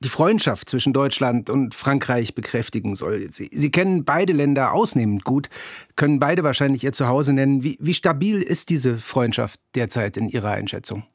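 A man's voice: mid-range voice, animated, normal speed, dry recording, studio quality. Articulate male radio interviewer. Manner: smooth, articulate questioning.

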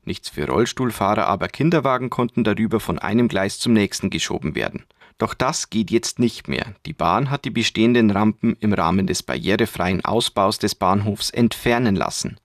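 Low-voiced male radio reporter, very dry sound. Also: animated, moderate pace, no room sound. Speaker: news reporter. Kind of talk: scripted radio report narration.

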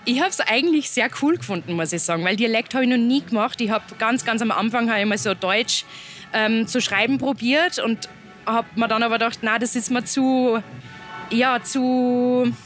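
A young woman with an Upper Austrian accent chats loosely as a musician guest in an interview. Her talk runs freely, full of fillers and easy warmth of tone. Faint music plays under the recording. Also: fairly high pitch, normal speed. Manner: chatty, loose, laughs between thoughts.

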